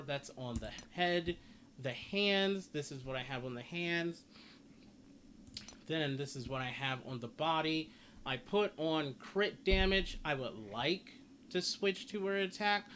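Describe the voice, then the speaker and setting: A man with a fairly high-pitched voice talks through a video game guide in a casual way. He rambles, restating points and rewording as he goes. There is some noise behind his voice. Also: a bit bright. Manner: rambling, repeats and rephrases himself. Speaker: gaming video creator. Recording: noticeable background noise.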